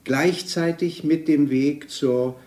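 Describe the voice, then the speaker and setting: A man explaining his ideas as an author in an interview, deliberate and searching, piling up phrases. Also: on the high side, darkish tone. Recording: roomy archive interview recording.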